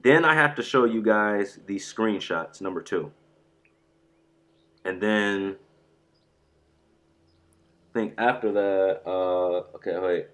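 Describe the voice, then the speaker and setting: A man streaming live about a fighting game, rambling and excitable, sounding stuffy from a sore throat. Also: fairly low pitch, slow, long pauses. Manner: excitable, rambling, says "bro" a lot. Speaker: gaming streamer.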